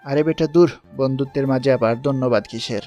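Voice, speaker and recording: A man's voice: medium pitch, fairly quick, dark tone, faint music behind, close to an everyday voice. Man playing a self-assured schoolboy charmer. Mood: casual, boastful. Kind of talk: audio story dramatization.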